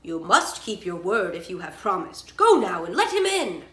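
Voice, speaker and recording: A woman's voice: on the low side, normal speed, pushed and bright, echoey room, far from the mic, pitched below the performer's own voice. Woman playing a firm fairy-tale king. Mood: stern. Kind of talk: storybook character read aloud.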